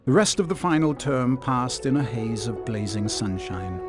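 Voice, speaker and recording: An actor narrating a wizard school tale in a video game, in a scripted storybook style. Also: male voice, medium pitch, expressive, normal speed, close to an everyday voice. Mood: storytelling. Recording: music behind the narration. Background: music.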